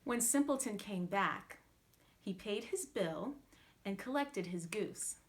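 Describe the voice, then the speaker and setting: A woman reading a fairytale aloud as a children's storyteller, with theatrical, drawn-out emphasis. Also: mid-range voice, lively intonation. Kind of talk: scripted fairytale narration for children.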